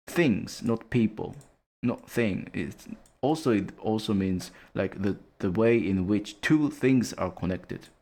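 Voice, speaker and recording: Low, slow-talking man hosting a study stream. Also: animated. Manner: hesitant, with many fillers and soft laughs. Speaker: stream host leading a language study session. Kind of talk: casual streamed study chat.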